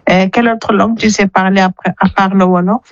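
Woman from senegal asking gentle questions, dull lo-fi phone message, dry. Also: mid-range voice, steady tone, brisk, no room sound. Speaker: mother and caller. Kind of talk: interviewing her daughter at home. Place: home.

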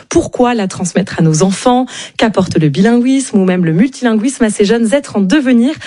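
Female radio presenter, measured and clear, dry booth sound. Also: medium pitch, warm tone, no echo. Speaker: radio show host. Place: radio studio.

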